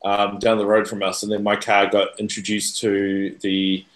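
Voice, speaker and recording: A man from New Zealand, a podcast guest, chatting about his show in a loud, jokey, self-mocking way. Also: fairly low pitch, completely flat delivery, moderate pace, reverberant, poor audio. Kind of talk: casual chat. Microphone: USB mic, online call.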